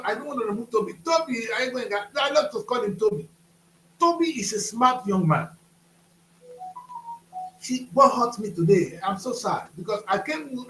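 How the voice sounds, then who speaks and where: Guy in his 50s ranting on a talk show, high voice, roomy echo. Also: fairly bright tone. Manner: preachy, rhetorical questions, emphatic. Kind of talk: opinionated studio talk. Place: studio.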